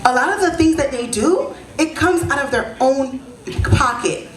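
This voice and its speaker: A woman's voice: sits high, animated, moderate pace. Haitian-born woman hosting a heritage event. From Haiti, raised in Florida. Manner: conversational, often adds "right?" to draw in the crowd. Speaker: event host and senior advisor. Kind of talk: speech at a community event. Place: event hall. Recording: echoey hall.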